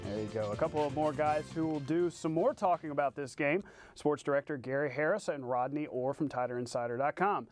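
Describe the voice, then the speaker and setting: A man co-hosting a sports show, calling highlights in a punchy style with exclamations. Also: brisk, no room sound, faint music behind. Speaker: TV sports co-host. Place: TV studio.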